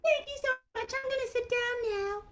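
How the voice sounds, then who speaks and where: A woman voicing an excitable, chatty little girl puppet who helps tell a children's story in a childlike, halting way. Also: very high-pitched, slight background noise, voice pushed up. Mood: cheerful. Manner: childlike, halting, searching for words.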